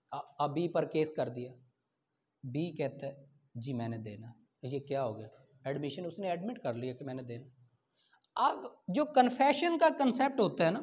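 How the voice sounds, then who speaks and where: A man's voice: a little high, darkish tone. A Pakistani man in his thirties lecturing didactically as a teacher in a classroom, posing questions and answering them himself. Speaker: law teacher. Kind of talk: classroom lecture for exam preparation. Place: small indoor classroom at a whiteboard. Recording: dull lo-fi camera sound.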